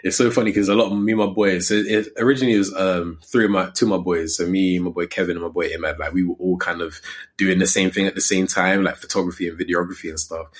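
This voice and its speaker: A man's voice: deep voice, steady tone, fast talker. A man in his twenties with an English accent, chatting informally and with slang as a guest in a casual interview. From Coventry, England. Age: 20s. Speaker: podcast guest, digital creator.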